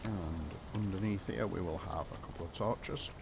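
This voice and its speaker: A man live-streaming a building game, chatting casually with viewers and croaky from a cold. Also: sits low, moderate pace, noisy background. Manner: rambling, self-deprecating, chats with viewers.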